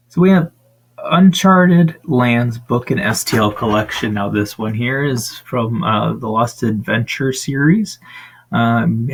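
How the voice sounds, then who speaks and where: Man, raspy husky voice, casual rambling review, lots of ums, screen-share voiceover. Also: mid-range voice. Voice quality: frequent ums and uhs, relaxed rambling. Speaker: tabletop gaming channel host.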